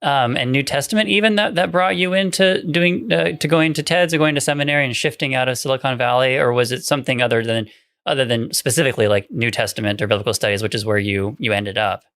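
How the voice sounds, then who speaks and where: A man co-hosting an academic podcast in a casual, conversational way, haltingly repeating words as he goes. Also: medium pitch, fairly even intonation, fairly bright tone, clean tone, dry recording, clean studio sound.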